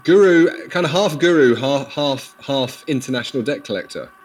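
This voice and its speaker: A man's voice: mid-range voice, animated, moderate pace. A man co-hosting a podcast with casual commentary, playfully repeating words for emphasis. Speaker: podcast co-host.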